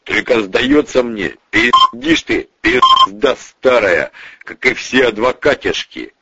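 A man's voice: medium pitch, huge pitch swings, takes it slowly, creaky, bright, forceful tone, lo-fi sound, a little hiss or hum, full character voice. A man voicing a brutal, foul-mouthed gangster boss who snarls and threatens, in an audiobook story. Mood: mocking menace.

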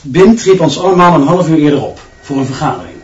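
An actor playing a long-serving teacher in a radio drama, recounting matters in a matter-of-fact way. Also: male voice, fairly high pitch, expressive, quick pace, recorded from a distance, over a noisy crowd, close to an everyday voice. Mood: earnest, factual. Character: long-serving male teacher recounting his director's regime. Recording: muffled, echoing room, off microphone.

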